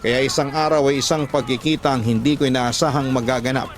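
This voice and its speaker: A man with a Filipino accent narrating a scripted story as a radio drama host, in an emotive, deliberate storytelling delivery. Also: medium pitch, fairly even intonation. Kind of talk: scripted dramatic narration of letter-sender stories. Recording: voice over background music. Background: music.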